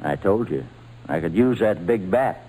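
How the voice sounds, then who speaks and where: An actor playing a steady, fatherly baseball manager in an old-time radio drama, speaking in an avuncular, persuasive way. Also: sits low, a little slow, breathy edge, full character voice, poor audio. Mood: reassuring, persuasive.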